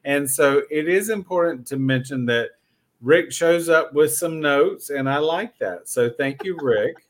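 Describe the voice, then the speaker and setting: A man's voice: a little high, lively intonation, a little slow. A man hosting a podcast as a casual chat, effusive and joking, often repeating his phrases. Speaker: podcast host.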